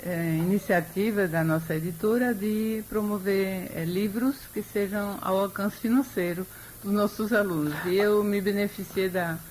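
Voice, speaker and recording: Older woman historian, unhurried and reflective with pauses, faint background noise. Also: medium pitch, steady tone, darkish tone, poor audio. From Europe. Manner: measured, thoughtful, with frequent hesitations. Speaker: historian, interview guest. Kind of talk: radio interview.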